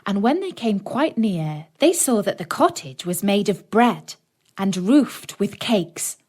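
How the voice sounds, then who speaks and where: A woman's voice: mid-range voice, very animated, takes it slowly, a little mellow. Woman narrating a children's storybook. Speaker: audiobook narrator.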